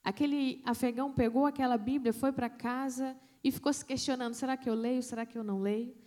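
Woman preaching in hushed tones. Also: high-pitched, steady tone. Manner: storytelling with dramatic pauses, hushed emphasis. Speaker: missionary preacher. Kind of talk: sermon testimony.

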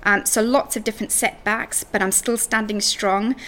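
A woman's voice: medium pitch, steady tone, normal speed, fairly bright tone. A woman from Zimbabwe speaking as a podcast guest and coach in a conversational interview.

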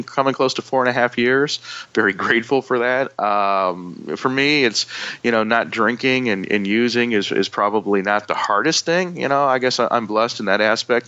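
A man's voice: lowish voice, pushed and bright, no room sound, dull audio. A man from Michigan with a northern US accent, a guest speaking candidly about his recovery. He talks reflectively and uses a lot of "you know" fillers.

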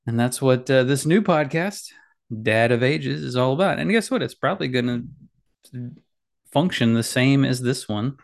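Rambling man with a gravelly, slightly nasal voice. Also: mid-range voice, lively intonation, normal speed. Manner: rambling, self-deprecating, many fillers and restarts. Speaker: podcast host. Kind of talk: casual solo podcast chat. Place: small home office. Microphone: boom mic with headphones.

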